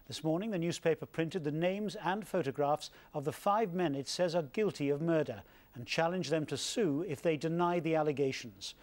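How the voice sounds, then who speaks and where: Man, British accent, fairly high pitch, newsreader, formal, measured, scripted bulletin. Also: darkish tone. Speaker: television newsreader.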